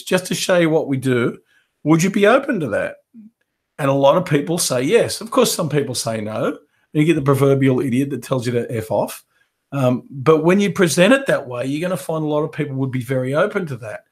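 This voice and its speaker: A man with a slightly high voice, hoarse from a bad head cold, coaches business owners in a live webinar. He coughs and clears his throat between points. He acts out sales pitches as little bits of dialogue. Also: darkish tone.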